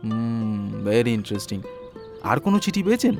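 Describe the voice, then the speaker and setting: A man's voice: lowish voice, sing-song, unhurried, music in the background. A man playing an inquisitive, polite and eccentric Bengali detective in an audio story, deferential in his questions. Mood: curious, pondering. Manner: deferential, tacking "sir" onto questions.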